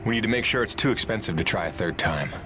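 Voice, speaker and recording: A man playing a steady, weary rig pilot who leads a rebellion in a game cutscene, plain-spoken and reassuring. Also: lowish voice, quick pace, noisy background, lightly acted. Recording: loud music behind the voice.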